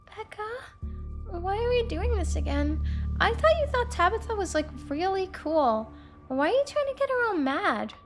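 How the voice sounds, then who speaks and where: A woman's voice: very high-pitched, very animated, moderate pace, soft background music, pitched above the performer's own voice. A woman voicing a naive, earnest young girl in a video game, talking in a youthful, wondering, chatty way. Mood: puzzled. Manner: youthful, wondering, uses like a lot.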